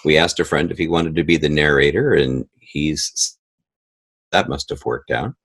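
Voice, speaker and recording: A man, a guest in a conversational interview, telling stories haltingly and acting out remembered dialogue. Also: very deep voice, a bit bright, breathy edge. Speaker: podcast guest, audio drama creator.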